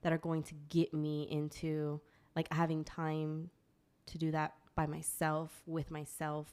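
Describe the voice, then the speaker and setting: A woman's voice: low-pitched, monotone, a little slow, darkish tone, clean tone. A woman, guesting on a casual podcast, talking frankly, swearing and laughing often. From Texas. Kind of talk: casual chat.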